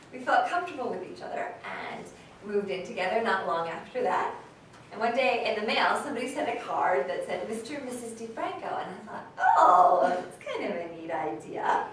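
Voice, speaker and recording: A woman playing a chatty, candid mother in her sixties, telling her story conversationally in a staged reading. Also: fairly high pitch. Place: live staged reading in a large room. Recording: echoey, noisy hall from far off.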